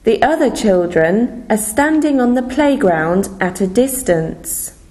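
A woman from England, reading game rules slowly for a listening lesson. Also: on the high side, sing-song, darkish tone. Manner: very slow, deliberate, carefully spaced reading. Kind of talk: scripted listening-lesson narration.

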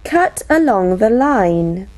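A woman slowly reading out classroom phrases for teachers, each phrase spoken separately. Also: mid-range voice, very animated, darkish tone. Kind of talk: slow, clearly spoken classroom English read aloud.

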